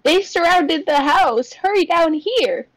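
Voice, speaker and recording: A woman's voice: very high-pitched, lively intonation, takes it slowly, pitched above the performer's own voice, full character voice. A woman reading the part of a commanding, protective old grandmother in a comic dub. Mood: firm, urgent.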